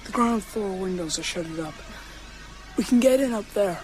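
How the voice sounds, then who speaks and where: A woman's voice: mid-range voice, lively intonation, moderate pace, a little mellow, faint music behind, lightly acted. A woman playing a bold, earnest young American boy who hunts vampires in a radio drama. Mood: determined.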